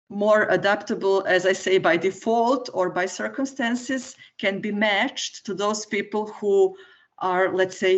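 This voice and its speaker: A woman's voice: mid-range voice, lo-fi sound. A woman from Serbia, a guest on a podcast, explaining her views and often ending points with a questioning "right?". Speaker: guest, entrepreneur. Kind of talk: podcast interview answer. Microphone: remote call.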